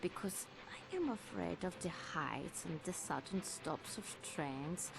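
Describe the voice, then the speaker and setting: A woman's voice: fairly low pitch, animated, a little slow, vocal fry, noisy background. A woman voicing a pious, fretful, kindly lady traveller in a video game, speaking in formal, overly polite phrases. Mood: prim, anxious. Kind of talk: video game character acting.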